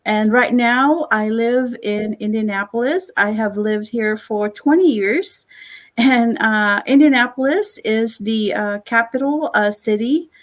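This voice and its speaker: A middle-aged woman from the Philippines, a guest chatting on a video call, talking warmly with many fillers and soft laughs. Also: on the high side, measured pace. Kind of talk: casual interview chat.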